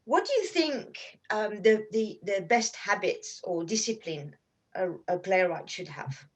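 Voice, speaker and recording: A woman's voice: mid-range voice, expressive, measured pace. A woman interviewer asking questions in a casual, chatty style.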